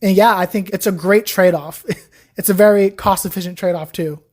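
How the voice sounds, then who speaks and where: A woman's voice: mid-range voice, moderate pace. A young woman, a guest on a podcast, chatting casually about learning Chinese, telling her story with lots of "like". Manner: chatty, animated storytelling with frequent "like". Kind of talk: casual interview chat.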